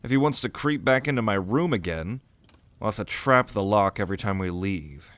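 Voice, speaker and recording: A man's voice: on the low side, animated, normal speed, a bit bright, dry recording. A man playing a cocky, sardonic young prince at a mage academy in an audio roleplay, with a swaggering, theatrical manner. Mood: irritated. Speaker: ASMR roleplay voice actor.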